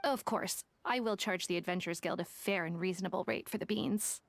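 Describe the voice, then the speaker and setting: A woman's voice: mid-range voice, a little fast, dry recording, lightly acted. A woman voicing a shrewd, businesslike young detective in a video game, with a polite, salesy manner. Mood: sly, businesslike. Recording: faint game music behind.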